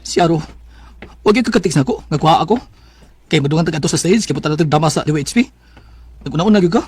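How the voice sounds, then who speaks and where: A man's voice: on the high side, expressive, rapid-fire, a little mellow, slightly rough, soft background music. An actor playing a jealous, nagging young man in a Cebuano Bisaya radio drama. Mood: casual, teasing. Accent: Cebuano Bisaya, Philippines.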